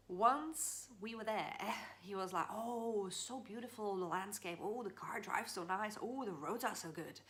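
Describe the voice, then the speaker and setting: A woman's voice: on the low side, fairly bright tone, slight background noise. A woman from the Czech Republic talking through personal advice in an earnest, chatty way, with small laughs and restarts. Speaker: vlogger. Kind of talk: casual heart-to-heart advice.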